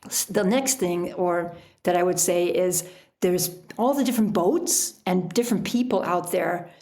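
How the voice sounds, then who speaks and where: A woman speaking as an interview guest and writer, chatting casually in an animated storytelling way. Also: fairly low pitch, measured pace. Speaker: podcast guest, adventure writer.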